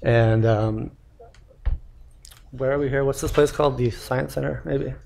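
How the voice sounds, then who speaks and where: A man's voice: medium pitch, fairly even intonation, a little slow, gravelly, a little mellow. A young man, a startup founder, giving a casual live product demo from a podium in a lecture hall, with lots of fillers and restarts. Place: lecture hall at a tech meetup. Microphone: podium mic.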